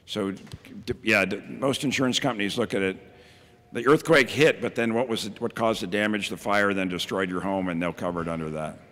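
A man's voice: lowish voice, brisk, a bit bright. A middle-aged man giving a plainspoken keynote talk from a podium mic and taking audience questions, with frequent ums and restarts. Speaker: county emergency services director, keynote speaker. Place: large hall at a podium. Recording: reverberant hall.

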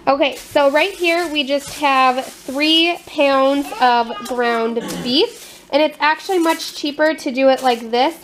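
A woman in her twenties with an American accent, talking casually through items for a home vlog in short, halting phrases. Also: high voice. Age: 20s. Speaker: family vlogger. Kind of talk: casual show-and-tell vlog narration. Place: home kitchen. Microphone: handheld camera at arm's length. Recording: noisy handheld camera audio in a kitchen.

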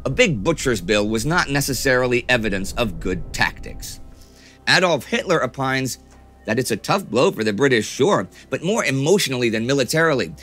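A middle-aged man presents scripted history in an emphatic, dramatic way. His voice is mid-pitched, bright and forceful, with very animated intonation. Faint music plays behind him. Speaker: history show host. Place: small furnished study set, indoors.

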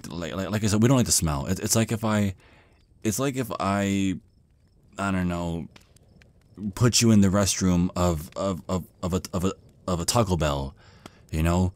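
A man's voice: low-pitched, darkish tone, natural voice. A man playing a shy, nervous male vampire in an ASMR roleplay, stammering and hesitating apologetically as he talks. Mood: nervous, chatty. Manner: stammering, hesitant, flustered, rambling. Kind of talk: ASMR roleplay monologue, conversational.

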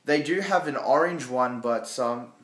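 A man's voice: medium pitch, a little slow, fairly bright tone. A young man vlogging casually from a small home kitchen, talking into a phone held at arm's length and rambling with lots of fillers and restarts. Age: young adult. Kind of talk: casual unboxing vlog. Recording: echoey room.

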